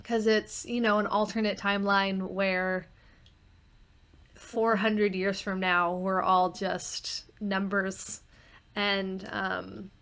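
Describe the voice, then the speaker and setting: A woman with a mid-range voice speaks as a podcast co-host and director. She talks informally, as part of a relaxed round-table chat. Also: mid-range voice, slow pace.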